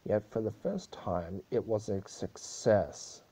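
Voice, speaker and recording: A man's voice: lowish voice, sing-song, slow, warm tone, husky, exaggerated voice. A man voicing a stern, wrathful pony princess in a solemn, declamatory way for a story reading. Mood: stern anger.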